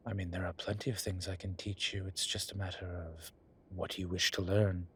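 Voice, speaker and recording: A man voicing a flirtatious, smitten demon professor in an intimate audio roleplay, husky and breathy, with halting, wry phrasing. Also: low voice, flat delivery, fairly bright tone, slight background noise. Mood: playful, teasing. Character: teasing, smitten male incubus demon professor. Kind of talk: intimate one-sided audio roleplay acting.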